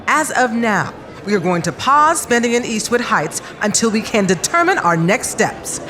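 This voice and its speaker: Woman playing an imperious, high-pitched council official. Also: very animated, moderate pace, a bit bright. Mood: scornful. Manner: stern, commanding, dismissive. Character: scornful, imperious female city council official. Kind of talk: audio drama acting.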